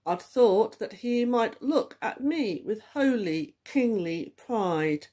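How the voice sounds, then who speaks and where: Slow-paced woman reciting verse. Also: fairly high pitch, animated, mellow. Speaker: church group leader reading aloud. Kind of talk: measured ballad poem recitation.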